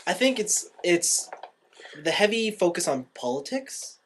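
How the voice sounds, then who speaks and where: Animated higher-pitched man chatting. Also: unhurried, slight background noise. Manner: enthusiastic, opinionated. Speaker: podcast co-host. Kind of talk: casual fan chat about anime.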